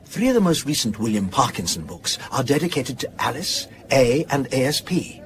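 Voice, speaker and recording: An actor plays a pedantic male academic in a radio drama. His medium-pitched voice swings up and down with theatrical emphasis. He draws out his points and savours every revelation. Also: sing-song, normal speed, slight background noise, lightly acted. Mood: excited, revelatory. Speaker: lecturer. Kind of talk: naturalistic radio drama acting.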